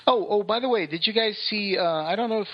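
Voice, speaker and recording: A somewhat lo-fi, muffled recording of a man with a fairly high voice. He chats casually as a podcast co-host. He sounds enthusiastic and ready with an opinion. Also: fast.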